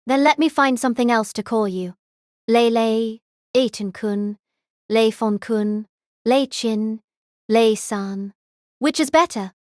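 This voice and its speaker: A narrator voicing a calm, emotionless young woman who teases in a flat, even tone. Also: medium pitch, takes it slowly, no echo, clean studio sound, pitched above the performer's own voice, lightly acted. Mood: teasing.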